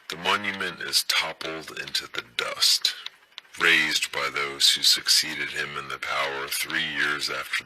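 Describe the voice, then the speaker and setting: Very deep, slow, anguished man acting a disillusioned tragedian. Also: bright, forceful tone, slight background noise, full character voice, rough recording. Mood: solemn, declamatory. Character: disillusioned, anguished actor renouncing his role as Hamlet. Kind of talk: dramatic avant-garde theatre monologue for an audio play.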